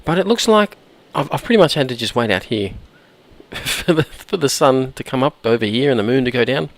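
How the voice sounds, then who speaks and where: A man with a hoarse, croaky voice from a cold, chatting casually while he plays and often clearing his throat. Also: mid-range voice, expressive, brisk. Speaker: gameplay commentator. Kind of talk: casual let's-play commentary.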